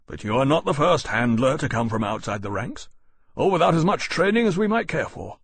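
A man voicing a domineering senior military commander in an audiobook, speaking in a sharp, curt, authoritative way. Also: on the high side, big pitch swings, brisk, breathy edge, no room sound, pitched above the performer's own voice, exaggerated voice.

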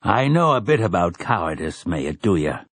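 A man's voice: low-pitched, big pitch swings, normal speed, fairly bright tone, dry recording, pitched below the performer's own voice, full character voice. A man voicing a stern old priest in an audiobook, commanding and oratorical, with rustic, archaic turns of phrase. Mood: grave, confiding. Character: stern, weathered old priest rallying frightened villagers. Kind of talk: audiobook character dialogue.

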